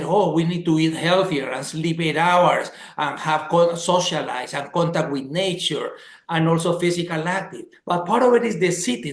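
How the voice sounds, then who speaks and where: High-voiced man hosting a webinar. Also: reverberant. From Colombia. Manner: enthusiastic, emphatic, repeats words for stress. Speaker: webinar host. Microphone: video call.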